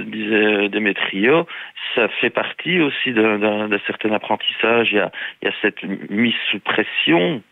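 Gravelly man on a tinny phone line. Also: on the low side, no echo. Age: 40s. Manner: halting, many restarts and hesitations. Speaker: caller. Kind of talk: phone-in call on radio. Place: in Spain.

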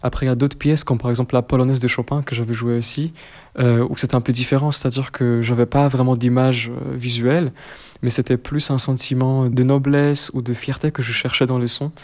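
A man in his twenties from Poland, a cellist answering interview questions in a second language, soft-spoken and hesitant with many fillers. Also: medium pitch, flat delivery, fairly quick. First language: Polish. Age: 20s.

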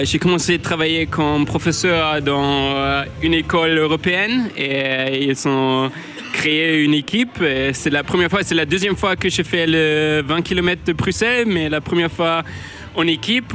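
A man with an English Canadian accent speaks as a non-native in slow, careful French. His voice is bright and a little high, and he pauses often to search for words. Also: a little hiss or hum. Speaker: runner interviewed after a race. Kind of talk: casual interview answers.

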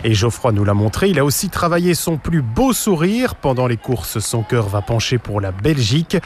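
Reporter radio: narration scénarisée, enjouée, hauteur moyenne. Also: male voice, mid-range voice, big pitch swings, moderate pace, slight background noise.

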